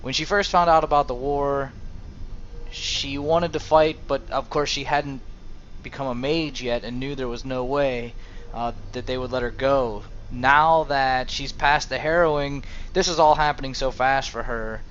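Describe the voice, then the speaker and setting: A young man casually narrating a role-play over a video game, hesitant and halting as he speaks. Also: medium pitch, steady tone, fairly bright tone. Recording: dull home mic, faint noise.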